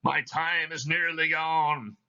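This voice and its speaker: A man voicing a tormented, ominous ghost of a dead businessman in solemn, drawn-out, wailing declamation for a stage play reading. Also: a little high, fairly even intonation, sharp tone, lo-fi sound, voice pushed up, heavily acted. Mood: solemn warning.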